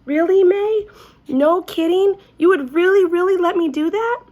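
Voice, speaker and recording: A woman voicing an excitable, earnest little girl telling her own story, with childlike, emphatic phrasing as in an animated storybook reading. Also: extremely high pitch, moderate pace, voice pushed up. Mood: thrilled.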